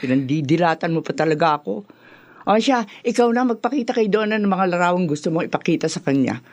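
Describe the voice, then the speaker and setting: A lively, boastful Filipino mother. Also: fairly low pitch, fast talker, no echo. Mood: teasing, huffy. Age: middle-aged. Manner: exclaiming, teasing, chatty. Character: bubbly, doting, boastful mother of a grown son. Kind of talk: Filipino radio drama acting.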